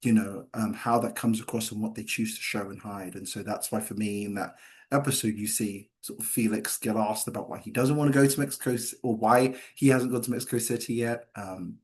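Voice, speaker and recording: A man speaking as a screenwriter in a Q&A, halting and hedging as he explains, often repeating himself. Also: fairly low pitch, fairly even intonation. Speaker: screenwriter answering questions. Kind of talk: post-reading Q&A discussion.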